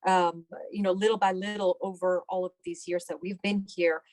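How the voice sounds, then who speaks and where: A woman's voice: on the low side, little pitch movement, moderate pace, darkish tone, no room sound. A middle-aged woman giving conversational, explanatory interview answers as a doctor, often repeating phrases for emphasis.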